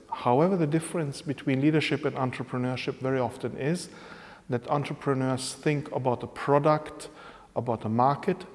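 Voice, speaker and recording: A man from Hungary, a professor, answering interview questions in measured, explanatory English with occasional fillers. His first language is Hungarian. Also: mid-range voice, a little mellow. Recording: reverberant room.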